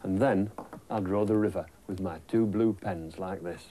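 A middle-aged British man presenting and telling stories to young children in simple, clearly phrased sentences. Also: low-pitched, normal speed, dark tone, a little hiss or hum. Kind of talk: gentle scripted storytelling to young children.

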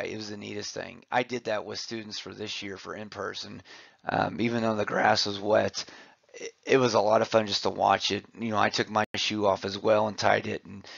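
A man's voice: fairly low pitch, vocal fry, no echo. A man, a physical education teacher, giving an informal, conversational presentation with enthusiasm, recorded slightly muffled. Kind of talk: informal conference presentation over slides. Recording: slightly muffled, dull audio.

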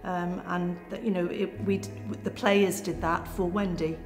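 A woman's voice: on the low side, little pitch movement, a little slow. Earnest older woman in an echoey hall. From Wales. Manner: earnest, many fillers. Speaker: former netball player and coach. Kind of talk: reflective interview. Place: indoor corridor. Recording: echoey hall, soft music beneath.

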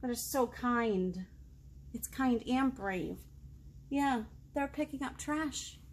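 A woman in her thirties hosts a children's storytime with a high voice and an unhurried pace. She reads expressively and pauses to put questions to her young listeners. There is clear room noise behind her. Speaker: children's librarian, storytime host. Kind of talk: reading a picture book aloud to young children, with asides. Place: indoor room, seated.

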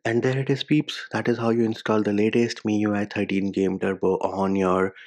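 Low, even-toned man presenting a tech tutorial. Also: fairly quick. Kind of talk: step-by-step phone tutorial voice-over.

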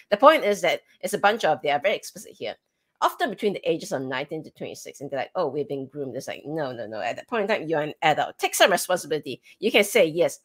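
Woman, lower-pitched, very lively intonation, emphatic and casual co-host with opinions. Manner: emphatic, conversational. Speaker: co-host of a comics talk show. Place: indoor room, on a video call.

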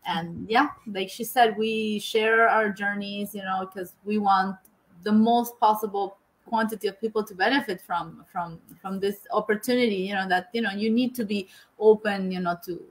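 A woman's voice: mid-range voice, steady tone, moderate pace, rough recording. Woman on a video call from home, stops and restarts a lot, says you know constantly. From Argentina. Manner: hesitant, many repeated words and "you know". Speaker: guest, engineer. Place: home room indoors.

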